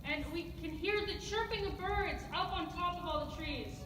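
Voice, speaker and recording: Very high-voiced female student narrator, very echoey. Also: moderate pace. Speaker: student improv performer, narrating. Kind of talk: improvised scene-setting narration. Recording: distant mic in echoey hall, noise.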